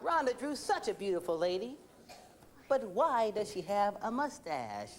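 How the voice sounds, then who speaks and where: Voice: mid-range voice, big pitch swings, moderate pace, noisy background, natural voice. An actor voicing a bratty, stubborn, whiny young boy character in a children's educational puppet musical. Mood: taunting. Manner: taunting, defiant, whiny. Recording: old VHS tape, music behind.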